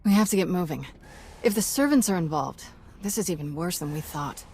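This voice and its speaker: An actress playing an earnest, spirited warrior companion in a video game, talking with urgency. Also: female voice, lowish voice, lively intonation, a little fast, darkish tone, breathy edge. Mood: urgent, determined.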